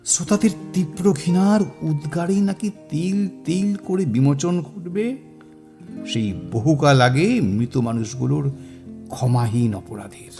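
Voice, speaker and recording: An actor playing a worldly older mentor in a Bengali radio drama, speaking with a Bengali accent and a slow, dramatic delivery. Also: male voice, fairly high pitch, animated, dark tone, faint music behind. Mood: measured. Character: worldly older man, storyteller, mysterious. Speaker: older male mentor figure called Miska.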